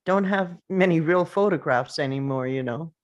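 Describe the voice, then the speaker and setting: A woman reading the part of a bereaved, nostalgic mother in a drama table read, halting and conversational but composed. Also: low-pitched, muffled recording, lightly acted. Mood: wistful.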